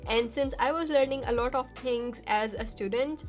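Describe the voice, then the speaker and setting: Young female vlogger, slightly high pitch, chatty and laughing, faint music bed. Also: moderate pace. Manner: chatty, laughs easily, reads questions aloud then answers. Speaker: YouTuber answering viewer questions. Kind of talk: casual Q&A vlog.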